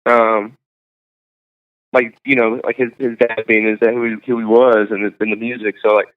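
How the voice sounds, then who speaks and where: Young male guest, rambling and filler-heavy, smooth voice, tinny phone-like sound. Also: on the low side, level tone. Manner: halting, full of likes and ums. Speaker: singer-songwriter guest. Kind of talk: casual interview chat.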